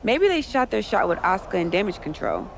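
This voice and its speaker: A concerned, businesslike female wrestling teammate in a game story scene. Her pitch is average, with light noise behind. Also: sing-song, brisk, creaky. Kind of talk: scripted game cutscene dialogue.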